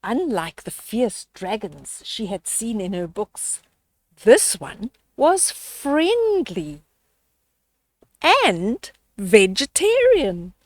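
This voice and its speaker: A woman's voice: medium pitch, sing-song, drawn-out words, dry recording. An older woman reading a children's story aloud, expressively, with explanatory asides and questions to young listeners.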